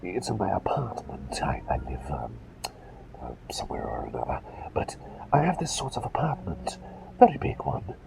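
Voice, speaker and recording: A man's voice: a bass voice, huge pitch swings, moderate pace, full character voice, poor audio. A man voicing an eccentric, absent-minded plague doctor in a whispered ASMR roleplay, speaking in hesitant, polite, rambling phrases. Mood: fond rambling. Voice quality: breathy whisper with creaky edges. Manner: hesitant, rambling, old-fashioned genteel phrasing.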